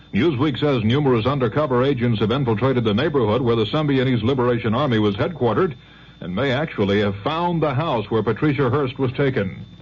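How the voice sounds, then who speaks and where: A man reading the hourly news as a network anchor, in a crisp, formal delivery. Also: mid-range voice. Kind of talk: newscast reading. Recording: dull 1970s radio broadcast with faint hum.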